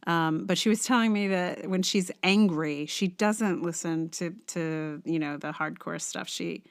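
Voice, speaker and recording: Slow-paced woman chatting as podcast host. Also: lowish voice, smooth. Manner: rambling, conversational. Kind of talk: casual podcast chat.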